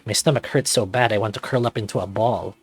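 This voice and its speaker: A man's voice: fairly low pitch, quick pace, husky, faint music behind, pitched below the performer's own voice. Man voicing an anxious, confessional thirty-something man. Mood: insecure, pleading. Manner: introspective, confessional, dramatic reading. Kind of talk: first-person visual novel narration.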